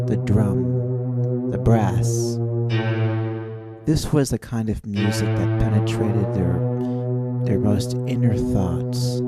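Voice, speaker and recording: A man telling a dreamlike spoken-word adventure tale in halting, musing phrases with dramatic pauses. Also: mid-range voice. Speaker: storyteller, narrator. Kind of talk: loose, dreamlike spoken-word storytelling in an old-time radio adventure manner. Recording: voice over easy-listening music bed. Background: instrumental easy-listening music with guitar.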